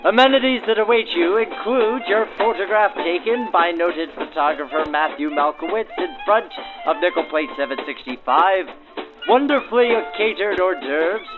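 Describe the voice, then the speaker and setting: A man with a high voice hosts and interviews in 1940s radio style. The sound is narrow and vintage, with faint music behind him. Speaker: host and interviewer. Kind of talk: scripted narration and field interviews backdated to 1940s radio.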